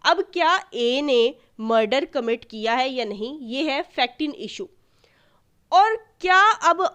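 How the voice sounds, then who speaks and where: A woman's voice: sits high, a bit bright. A woman giving an explanatory lecture as a law tutor, going step by step with rhetorical questions and repetition, mixing in English legal terms.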